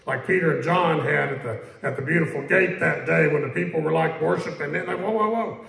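Pastor, male, conversational sermon, gravelly, echoey sanctuary. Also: on the high side, a bit bright. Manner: conversational preaching, repeats questions, quotes scripture slowly. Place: church sanctuary. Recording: echoey church room.

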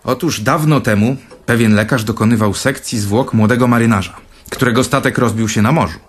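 An actor playing a vain, theatrical older brother in a radio play, telling tales in a pompous manner. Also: male voice, mid-range voice, fairly quick, husky, close to an everyday voice. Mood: grandiose storytelling. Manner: pompous, storytelling.

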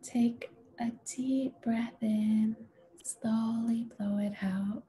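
A woman leading a guided meditation in a gentle, soothing, unhurried way, with a soft, breathy voice that often nears a whisper. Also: a little high, fairly even intonation, a little hiss or hum. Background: music.

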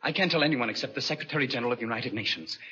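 A man's voice: medium pitch, very fast, pushed and bright, breathy edge. An actor in a 1940s radio drama playing a desperate, dying young man who foresees the future, speaking earnestly and dramatically. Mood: urgent. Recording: muffled, vintage 1940s radio recording.

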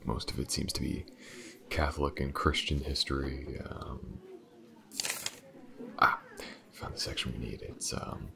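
A man playing a weary, driven detective in an audio roleplay, with a hesitant, muttering delivery and a gravelly voice. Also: fairly even intonation, a little slow, long pauses, a crowd in the background, full character voice. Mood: tentative, focused. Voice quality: very deep, gravelly. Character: weary, driven male detective investigating a dark mystery. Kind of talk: intimate audio roleplay acting.

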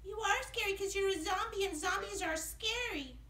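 Innocent, curious puppet boy, piercingly high and bright, for a kids' show. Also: moderate pace, reverberant. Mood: earnest. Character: wide-eyed little cartoon boy puppet, innocent, curious.

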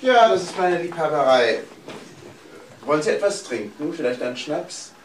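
An actor playing a courteous, measured doctor and gracious host in a crime radio drama. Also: male voice, fairly high pitch, lively intonation, lightly acted. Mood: polite, pensive. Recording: roomy, noisy old drama recording.

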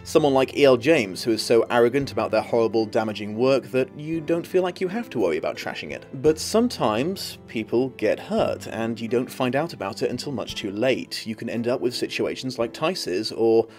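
A man's voice: medium pitch, lively intonation, brisk, hardly any pauses, a little mellow, soft background music. A man in his thirties, an online reviewer delivering a scripted video essay in an articulate, wry way. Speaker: online book reviewer and host.

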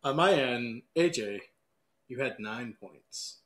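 A man's voice: medium pitch, expressive, takes it slowly, fairly bright tone. A man moderating and judging a debate in a casual hosting style.

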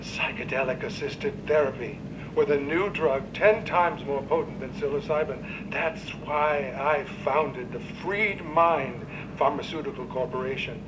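A smug, unhinged male therapist played by an actor in a video game, speaking in a fairly high voice. He is pompous and theatrical, selling himself as a grand healer. There is clear rumbling noise behind him. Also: a little slow, close to an everyday voice. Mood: grandiose, persuasive. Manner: pompous, theatrical, clinical jargon. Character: smug, unhinged, self-promoting celebrity therapist with delusions of grandeur. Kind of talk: video game cutscene acting.

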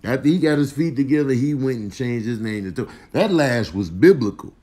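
A man's voice: medium pitch, lively intonation, a little fast, dark tone. An American man giving comedic commentary on clips, exaggerating with slangy, incredulous delivery.

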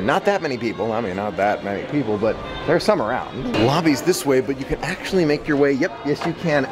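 A man in his thirties giving an enthusiastic walk-and-talk tour for a vlog, repeating words for emphasis, recorded on a camera held at arm's length. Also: mid-range voice. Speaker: vlogger. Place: resort lobbies and covered walkways at night. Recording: clearly noisy handheld on-location recording.

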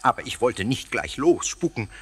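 An actor playing a cheeky, playful, excitable ghost in a children's radio play, theatrical and husky, tripping over his words. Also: medium pitch, normal speed, faint music behind, heavily acted. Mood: chatty, playful. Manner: theatrical, stammering over words, muddling them. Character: cheeky, playful, excitable old castle ghost, greedy for snacks.

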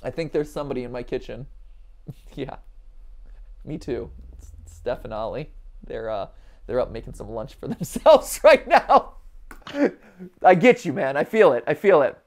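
A man in his thirties hosting a gaming stream with casual, animated, joking reaction commentary full of exclamations. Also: medium pitch, moderate pace, pauses often. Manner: animated, jokey asides, exclamatory. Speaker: livestream gaming host. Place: small furnished room, on a couch.

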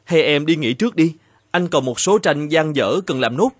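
A man voicing an earnest, evasive married painter in an animated folktale, with a polite and hesitant manner. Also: a little high, moderate pace, husky, no room sound, clean studio sound.

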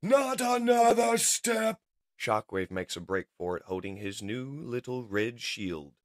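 A man's voice: fairly low pitch, unhurried, a bit bright, no echo, clean studio sound. A man reading dramatic scripted narration of a comic-book story.

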